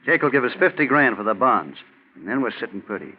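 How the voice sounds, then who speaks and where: A man's voice: mid-range voice, very animated, fast talker. An actor playing a sour, cautious crook with grumbling, sardonic tough-guy talk in a 1940s radio crime drama. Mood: calculating. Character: sour, skeptical male crook, cautious and weary of schemes. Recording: thin, tinny 1940s radio recording.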